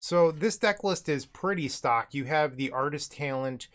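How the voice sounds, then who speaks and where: A man's voice: fairly high pitch, a little slow, fairly bright tone. A man hosting casual card game commentary, his voice a bit cracky and unsteady as he recovers from being sick. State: recovering from illness, voice cracks and cuts in and out. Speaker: host, card game streamer.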